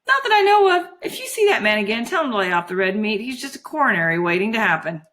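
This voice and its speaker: Woman as a flighty, sulky, high-pitched teenage girl. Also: big pitch swings, fast talker, fairly bright tone. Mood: chatty. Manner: gushing, slangy, petulant.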